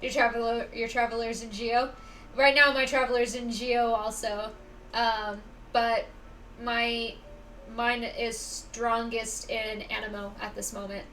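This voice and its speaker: A woman livestreaming a game, chatting casually to viewers in a halting, musing, informal way. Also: fairly high pitch, flat delivery, fairly bright tone. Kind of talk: casual chat to stream viewers. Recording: slightly distant mic, faint background noise.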